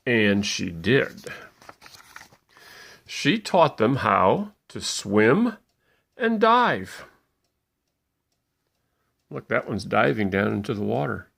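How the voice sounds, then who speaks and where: Gravelly, animated man reading a storybook. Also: fairly low pitch. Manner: playful, pausing to point things out. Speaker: parent reading a bedtime story aloud. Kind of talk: expressive storybook narration for young children.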